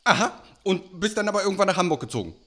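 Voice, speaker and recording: A male podcast host keeps the interview casual with short affirmations and easy questions. His voice sits slightly high and rises and falls in a lively way. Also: fast talker.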